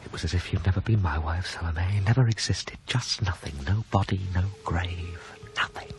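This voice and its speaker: A man playing a broken, grieving widower in a radio drama monologue, hushed and halting with sorrow. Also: low-pitched, over music, natural voice, poor audio. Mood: bereft. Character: grieving, broken widower narrating his lost wife.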